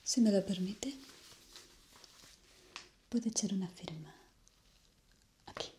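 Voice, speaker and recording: A young woman from playing a polite, eerie hotel receptionist in an ASMR roleplay, formal and hushed. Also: mid-range voice, slight background noise, close to an everyday voice. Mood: quietly inquisitive. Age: young adult. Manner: formal, slow, hushed and courteous.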